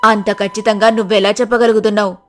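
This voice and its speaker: Concerned neighbour woman for a village tale, played by a woman: lowered pitch, insistent questioning, faint music. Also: flat delivery, fast talker, fairly bright tone, natural voice. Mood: doubtful.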